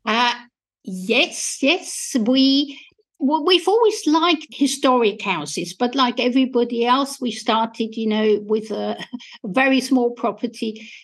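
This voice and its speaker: Slow-spoken Austrian-accented woman. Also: a little high. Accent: Austrian German. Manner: enthusiastic, measured, with soft laughs. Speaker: food historian guest. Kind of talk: interview conversation.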